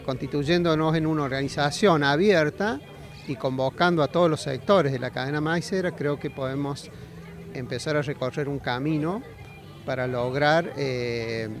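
A middle-aged man with an Argentine accent answering interview questions outdoors into a handheld mic, with halting, hesitant phrasing. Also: fairly high pitch, faint music behind. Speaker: agronomy faculty representative being interviewed. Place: outdoors at a busy fairground. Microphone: handheld interview microphone.

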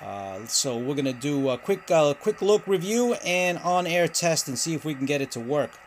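Guy doing a casual ham radio gear review, kinda hesitant, voice a bit high, lots of background noise. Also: expressive, moderate pace. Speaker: host of a ham radio channel. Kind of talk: casual product review narration.

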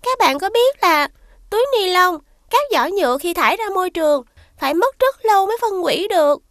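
A woman's voice: very high voice, lively intonation, a little fast, a bit bright, no room sound, studio quality, full character voice. A woman voicing a shy, earnest young schoolgirl who explains things carefully, in a children's cartoon. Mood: earnest, embarrassed.

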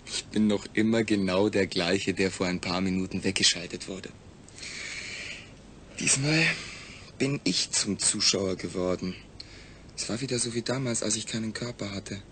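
A man acting in a German audio drama as a calm, rational astronaut, speaking in a measured, explanatory way. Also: lowish voice, a little hiss or hum, close to an everyday voice. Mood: relieved, reflective.

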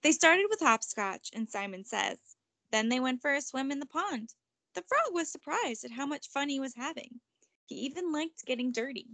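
A woman reading a children's picture book aloud as narrator, expressive and emphatic. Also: high-pitched, normal speed, no room sound. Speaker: storybook reader.

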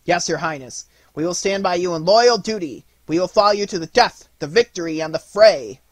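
A man's voice: high voice, animated, brisk, dry recording, pitched above the performer's own voice. Man voicing eager, raised-voice toy vassals. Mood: eager, loyal. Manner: eager, emphatic declaiming. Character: eager, loyal band of toy soldier vassals.

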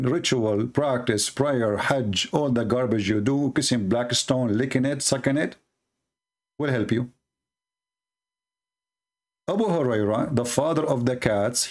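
A man's voice: mid-range voice, lively intonation, unhurried. A man whose first language is Arabic, hosting a heated debate and reading from texts on screen in a mocking, combative way. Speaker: debate host. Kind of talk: screen-share debate commentary.

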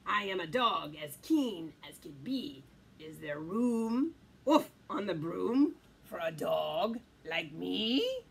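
Woman voicing a keen storybook dog, pitched low. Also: very animated, slow, vocal fry, breathy edge, heavily acted. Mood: eager. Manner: eager, barking woofs. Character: keen, eager, polite dog asking to join. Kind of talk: animated children's storybook reading.

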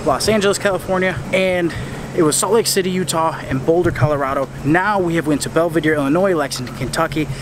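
A man's voice: fairly high pitch, fast. A young American man talking casually and earnestly to camera as he walks, recorded on a noisy street outdoors. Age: young adult. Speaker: tour videographer and vlogger. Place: walking on a town street at night. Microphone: camera at arm's length.

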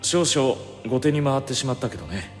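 An actor playing a courteous, enigmatic male sorcerer in an anime game, speaking with calm, measured, formal politeness. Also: medium pitch, lightly acted. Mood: grave, resolved. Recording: long reverb over background music.

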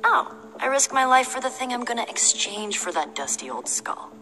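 An actress playing a confident young adventurer in a video game, teasing and self-assured in manner. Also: female voice, a little high, very animated, normal speed, bright, forceful tone. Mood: boastful. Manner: cocky, playful, self-assured. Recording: reverberant cave effect, faint music.

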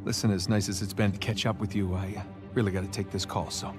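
A man's voice: low-pitched, flat delivery, fast, natural voice. An actor playing a wisecracking male treasure hunter in a video game cutscene, talking with dry, joking banter over film score music. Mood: wry. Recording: music behind the voice.

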